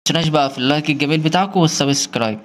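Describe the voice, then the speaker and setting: Very fast-talking Egyptian man. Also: on the high side, reverberant. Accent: Egyptian Arabic. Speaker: installer presenting an installation video. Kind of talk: casual hands-on tutorial commentary. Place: indoors in a marble-walled room. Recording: noisy handheld on-site recording.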